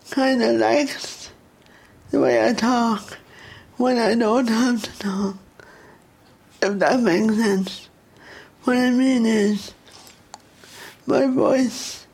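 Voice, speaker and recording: Slow, gravelly Irish woman with a speech impediment. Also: a little high, darkish tone. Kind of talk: personal reflection.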